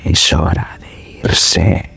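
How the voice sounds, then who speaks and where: A man's voice: very slow, pushed and bright, soft background music. A man voicing a sinister ghostly presence in a horror story, with a whispered, distorted voice. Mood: menacing.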